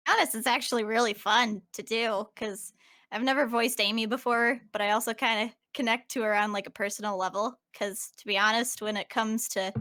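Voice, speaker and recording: A woman's voice: on the high side, sharp tone. A woman talking casually as a voice actor. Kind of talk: casual chat.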